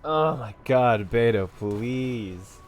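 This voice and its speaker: A man's voice: mid-range voice, lively intonation, drawn-out words, faint music behind. Man casually commentating on a video game. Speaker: game streamer.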